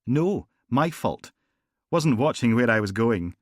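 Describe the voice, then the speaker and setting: A man voicing a smooth, smiling gangster boss with an Edinburgh accent, genteel and falsely courteous. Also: medium pitch, big pitch swings, takes it slowly, no room sound, clean studio sound, voice pushed up. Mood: polite. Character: smooth, smiling male gangster boss, middle-aged, menacing. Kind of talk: audiobook character voice.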